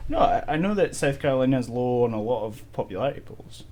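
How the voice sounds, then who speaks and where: A Scottish man with an ordinary mid-range voice chats on a podcast. He wanders through his stories in halting bits and laughs easily along the way. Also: mid-range voice, expressive, darkish tone. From Scotland. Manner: halting, rambling storyteller who laughs easily. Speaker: podcast co-host. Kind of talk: casual chat.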